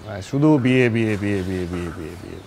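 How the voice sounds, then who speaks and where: Animated, teasing young Bangladeshi boyfriend man acting. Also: mid-range voice, brisk, a little hiss or hum, lightly acted. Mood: teasing, whining. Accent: Bangladeshi Bengali. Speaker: actor. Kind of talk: naturalistic TV drama dialogue.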